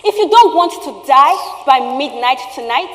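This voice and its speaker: Woman acting a shrill, fiery market hairdresser, echoey hall. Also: animated, moderate pace. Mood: scolding. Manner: loud, scolding, emphatic. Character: fiery, sharp-tongued market hairdresser woman, fearful of the powerful. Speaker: stage actor. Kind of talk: theatrical stage play acting. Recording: echoey theatre hall, long reverb.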